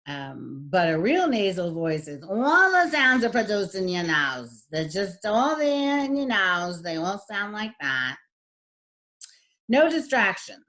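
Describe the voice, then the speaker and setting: A middle-aged woman with a husky voice, giving a recorded lecture as a voice and speech teacher, with frequent fillers. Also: medium pitch, sing-song, slow pace, fairly bright tone. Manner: demonstrates voice types, lots of fillers.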